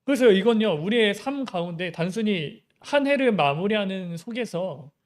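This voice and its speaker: Male pastor, young adult, high-pitched, chatty sermon style with rhetorical questions. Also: lively intonation, moderate pace. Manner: conversational preaching with many rhetorical questions.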